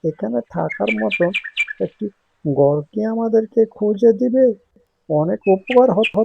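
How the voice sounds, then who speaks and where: A deceitful female crow from a cartoon, posing as a poor refugee. A voice actor voices her in a humble, pleading, ingratiating tone with a gravelly edge. The recording carries steady noise. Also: medium pitch, a little slow, dull audio. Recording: noisy recording.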